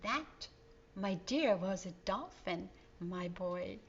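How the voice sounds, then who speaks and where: A cheerful seafaring uncle, as a woman reads him aloud in a storybook, hearty and fond as he shows the children around. His lines come slowly, with a lively lilt. The sound is dull and lo-fi. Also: mid-range voice, slightly rough, full character voice. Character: jolly seafaring uncle, a fisherman. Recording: dull lo-fi recording.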